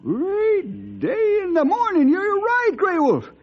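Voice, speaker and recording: An actor playing an excitable, folksy old cowboy with a drawl in an old time radio drama. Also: male voice, very high voice, slow pace, vocal fry, dull audio, heavily acted. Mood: puzzled.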